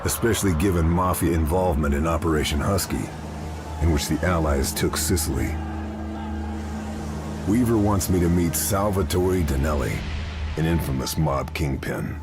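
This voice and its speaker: Very deep-voiced, stoic American soldier. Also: male voice, normal speed, music in the background. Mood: grim, measured. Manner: terse, understated, deadpan. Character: stoic, laconic WWII military sniper, a man. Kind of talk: dramatic video game cutscene acting and narration.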